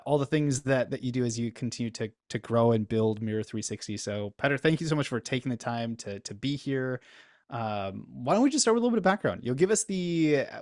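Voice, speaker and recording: A man hosts a business interview, winding through his questions with plenty of fillers. His pitch sits in the middle range. The sound is dry and close. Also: no room sound. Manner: rambling, with many fillers like "kinda" and "you know". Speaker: interview host and business coach.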